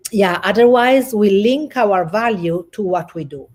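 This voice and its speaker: A woman with an Italian accent, a guest coach speaking over a video call in an earnest, halting way with many restarts. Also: medium pitch, darkish tone. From Italy. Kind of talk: livestream interview.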